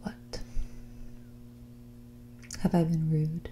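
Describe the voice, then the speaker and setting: A woman playing a snobby, dismissive rich young woman in a whispered and soft-spoken ASMR roleplay, condescending and ditzy, saying "like" often. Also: low-pitched, completely flat delivery, very slow, slight background noise, voice pushed down. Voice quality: breathy whisper mixed with creaky soft speech. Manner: ditzy, condescending, hesitant delivery full of "like".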